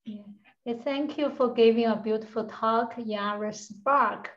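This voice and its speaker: A woman moderates an online seminar, inviting each person in with short, slow prompts. Her slightly raised voice comes through a dull, lo-fi call. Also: a little high, steady tone. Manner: brief, welcoming prompts. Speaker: seminar host.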